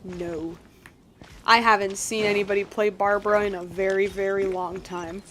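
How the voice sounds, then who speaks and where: A woman's voice: mid-range voice, steady tone, normal speed, a bit bright, slight background noise. A woman game streamer giving casual playthrough commentary in a rambling, joking way, often repeating her phrases.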